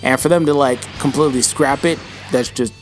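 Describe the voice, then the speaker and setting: A man's voice: mid-range voice, a little fast, soft background music. A young man answering viewer questions in a casual vlog, rambling and slangy and calling viewers bro, with his voice starting to go. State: losing his voice.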